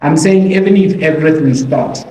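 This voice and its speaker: Animated man telling stories. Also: fairly high pitch, moderate pace. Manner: animated, acts out quotes and hums melodies. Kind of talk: storytelling in casual conversation. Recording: roomy room with faint noise.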